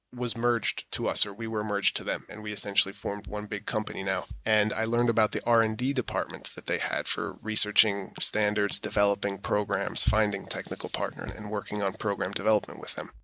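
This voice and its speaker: A man's voice: lowish voice, level tone, a little fast, pushed and bright, dry recording, low-quality recording. A man with an American accent giving informal, self-effacing answers as an interview guest. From born in New York, raised mostly in Europe. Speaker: podcast guest, sustainability auditor.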